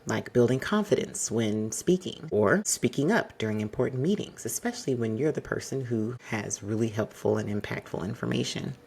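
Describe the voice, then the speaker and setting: A man hosting an advice podcast, speaking to the listener in a polished, exacting and fairly monotone way. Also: medium pitch, creaky, husky. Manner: measured, exacting, addresses listener directly. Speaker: podcast host.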